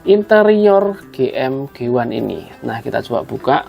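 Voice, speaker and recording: Gravelly man giving a casual tutorial. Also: medium pitch, big pitch swings, normal speed, dull audio, over music. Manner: conversational, often addressing viewers as friends. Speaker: host of a tutorial video. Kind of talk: casual step-by-step hands-on tutorial.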